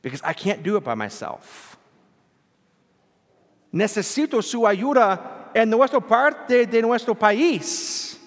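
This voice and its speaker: A priest, a native English speaker, preaches with a high, highly animated voice that rises and falls widely. He talks very slowly and earnestly, breaking into self-deprecating chuckles. His voice rings with the long reverb of a church hall. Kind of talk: bilingual homily. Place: church during evening Mass. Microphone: church sound system, livestreamed.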